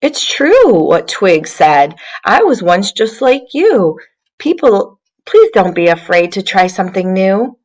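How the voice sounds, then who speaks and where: A woman's voice: mid-range voice, big pitch swings, slow. Kind storybook paintbrush, soothing and supportive, taking its time, read by a woman. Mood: reassuring. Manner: gentle, reassuring. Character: kindly, reassuring paintbrush from a storybook. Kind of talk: children's read-aloud character voice.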